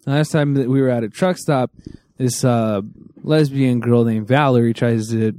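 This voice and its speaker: A man's voice: mid-range voice, steady tone, normal speed, warm tone. A man co-hosting a podcast, telling stories in a profane, sardonic way and mimicking other people's voices. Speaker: podcast co-host. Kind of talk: casual chat.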